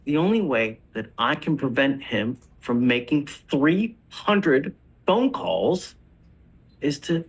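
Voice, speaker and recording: Firm male judge, slightly high voice. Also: big pitch swings, takes it slowly, rough recording. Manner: firm, blunt. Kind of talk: presiding over a court hearing. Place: courtroom.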